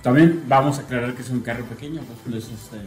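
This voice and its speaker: Young mexican guy, animated podcast chatter, faint music under it, echoey. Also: medium pitch, fast. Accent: Mexican Spanish. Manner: joking, animated, slangy. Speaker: podcast co-host. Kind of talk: casual banter among friends.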